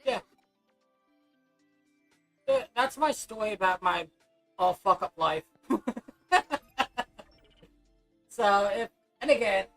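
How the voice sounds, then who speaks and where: A woman streaming a video game and chatting casually, her voice scratchy and hoarse, rambling with many restarts. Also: mid-range voice, expressive, slow pace, pauses often, a bit bright, soft background music. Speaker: gaming livestreamer. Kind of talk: casual chat while playing.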